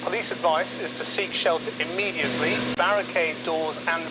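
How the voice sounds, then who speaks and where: A man's voice: fairly high pitch, steady tone, normal speed, natural voice. A man playing a television newsreader delivering a scripted emergency report. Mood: urgent. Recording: clearly noisy broadcast audio.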